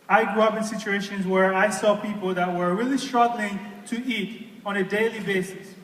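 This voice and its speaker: High-voiced man in an echoey hall. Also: a bit bright, far from the mic. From Haiti. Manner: earnest, emphatic, asks the audience questions. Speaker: guest speaker. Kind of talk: personal testimony speech. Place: large hall with an audience.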